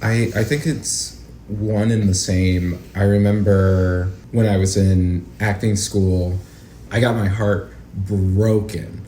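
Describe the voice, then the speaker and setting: A man's voice: fairly low pitch, steady tone, slow pace, mellow. A man speaking as an interview guest in casual conversation, earnest and reflective, often saying "like". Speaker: guest, actor and acting educator. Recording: roomy echo, light background noise.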